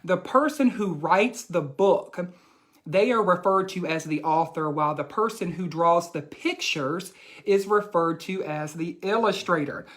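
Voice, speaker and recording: Slow-paced woman reading aloud to children. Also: fairly low pitch. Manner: instructive, deliberate, addresses children directly. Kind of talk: children's read-aloud with explanations.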